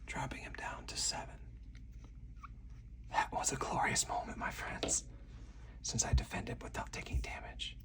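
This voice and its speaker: A man whispering a board game play-through in slow, soothing ASMR style, with breathy, deliberate step-by-step narration. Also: recorded from a distance. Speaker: solo board game player and channel host.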